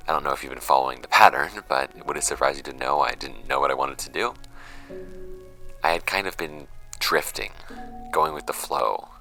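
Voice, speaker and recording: A man's voice: a bass voice, gravelly, bright, forceful tone, faint music behind, heavily acted, low-quality recording. A man acting a troubled young man in an audio drama, giving a hesitant, introspective confessional monologue. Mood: wry, reflective. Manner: hesitant, introspective, halting phrasing. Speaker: audio drama actor in the lead role.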